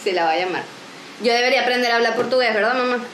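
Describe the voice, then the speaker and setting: A woman's voice: fairly high pitch, racing, echoey room, slight background noise, low-quality recording. A Venezuelan woman in her twenties chatting animatedly and joking in a home vlog, filmed at arm's length indoors. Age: 20s. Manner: animated, joking, gestures a lot. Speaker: vlogger. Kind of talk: casual vlog chat. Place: small room at home, indoors.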